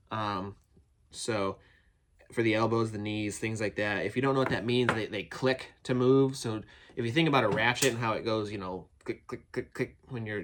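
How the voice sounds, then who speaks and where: A man with a somewhat low voice, stuffed up and nasal from a cold, a little phlegm in his throat. He talks in a loose, chatty way, restarting sentences as he reviews new figures, eager and plainspoken. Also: fairly bright tone. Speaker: toy collector reviewing action figures. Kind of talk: casual unboxing review. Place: his toy building, a separate outbuilding.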